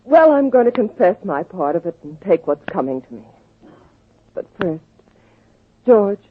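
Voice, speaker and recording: A woman's voice: medium pitch, very animated, unhurried. An actress playing a grateful, emotional young woman in a 1940s radio drama, speaking breathlessly. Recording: tinny old radio broadcast.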